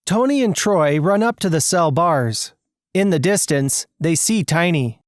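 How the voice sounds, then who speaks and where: A story narrator reads scripted adventure prose in a mid-range voice. The pitch moves in a lively way, and the sound is close and dry, with no room on it. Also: unhurried, no echo.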